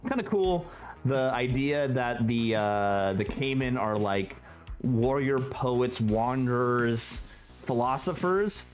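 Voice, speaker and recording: A man's voice: medium pitch, slow. Casual male gaming host, faint music. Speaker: host of a tabletop gaming show. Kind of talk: casual review chat.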